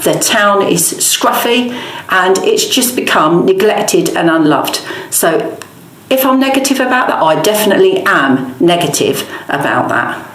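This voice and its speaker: A woman's voice: lowish voice, expressive, measured pace, a bit bright, reverberant, poor audio. A middle-aged woman giving conversational interview answers, emphatic and opinionated, listing her points in long runs. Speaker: local campaigner and interviewee.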